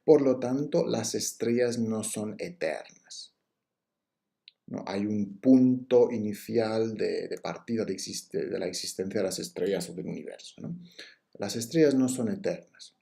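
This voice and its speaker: A man's voice: fairly low pitch, measured pace, gravelly, mellow. A man giving a recorded university lecture on logic, explaining step by step with frequent fillers and restarts.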